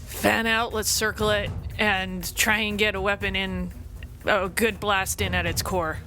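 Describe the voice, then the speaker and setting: A woman's voice: mid-range voice, level tone, a little slow, bright, forceful tone, soft background music. A woman playing a tabletop game, chatting casually and describing what her character does.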